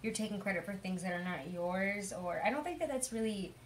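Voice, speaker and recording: A young woman with a hoarse voice giving a chatty, rambling tarot reading, full of fillers. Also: mid-range voice, lively intonation, brisk, slight background noise. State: hoarse from illness. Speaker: tarot reader. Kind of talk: casual tarot reading monologue.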